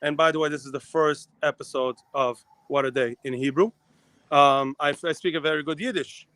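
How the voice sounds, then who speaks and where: A man from Israel co-hosting a live talk show, talking fast in a rambling way with many restarts. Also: fairly high pitch, fairly even intonation, fairly bright tone, no room sound. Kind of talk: casual live chat.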